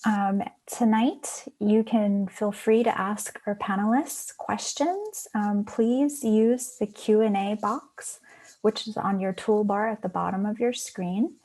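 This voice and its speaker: Woman from Hawaii, mid-pitched, hosting a video-call panel, friendly and filler-heavy. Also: a little mellow, low-quality recording. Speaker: international programs manager hosting a panel. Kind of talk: online event hosting.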